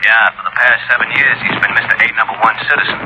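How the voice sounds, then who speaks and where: A man acts a businesslike male insurance official in an old radio drama. He talks very quickly and curtly at a middling pitch, in muffled lo-fi sound. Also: noisy background, close to an everyday voice. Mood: brisk, matter-of-fact. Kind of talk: 1950s radio drama acting.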